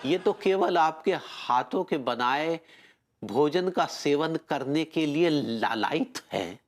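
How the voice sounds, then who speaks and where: A man's voice: fairly high pitch, lively intonation, slow pace. An actor playing a haughty, wheedling man who begs for alms in a broad Hindi sitcom.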